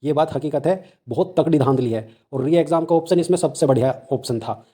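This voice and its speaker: A man's voice: fairly high pitch, a little fast, mellow. A young man with a North Indian accent talks informally to viewers, explaining in an insistent, colloquial way and repeating his points for emphasis. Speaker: exam-news channel host and candidate activist.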